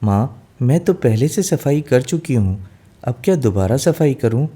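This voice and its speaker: A man's voice: medium pitch, animated, a little slow, dark tone, breathy edge, voice pushed up. A man voicing an innocent, dutiful young daughter in a fairy tale, speaking politely and obediently. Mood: meek, loving.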